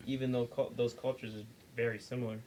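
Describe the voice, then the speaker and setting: A man's voice: mid-range voice, little pitch movement, fast talker, darkish tone, a little hiss or hum. Slangy, emphatic podcast man. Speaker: podcast co-host. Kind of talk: casual chat.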